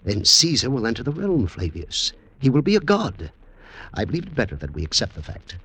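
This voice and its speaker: An actor playing a troubled, pondering ancient Roman in a 1950s radio drama, with stagey, deliberate archaic phrasing. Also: male voice, medium pitch, sing-song, a bit bright, breathy edge. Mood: earnest, persuading.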